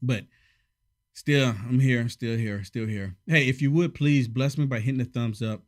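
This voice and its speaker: A man hosting casual political commentary, sarcastic and emphatic, a little hoarse from a head cold. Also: mid-range voice, a little slow.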